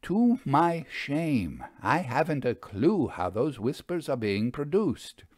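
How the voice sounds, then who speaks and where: A man voicing a troubled, solemn male magician in an audio story, speaking in a halting, deliberate way. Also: mid-range voice, big pitch swings, darkish tone, no echo, clean studio sound, voice pushed up. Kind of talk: audio storybook character acting.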